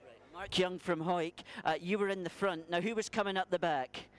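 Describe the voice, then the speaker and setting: A man's voice: high-pitched, fairly even intonation, brisk, clean tone. A man reporting live as a trackside interviewer at an outdoor sporting event. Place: outdoors at a windy racetrack finishing line. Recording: noisy windy outdoor trackside ambience.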